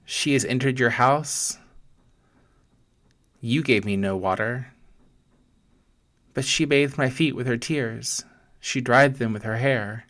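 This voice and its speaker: A man speaks very slowly in a medium-pitched voice, as a pastor leading a guided meditation. His delivery is gentle and deliberate, with pauses between short phrases as he narrates scripture as an imagined scene.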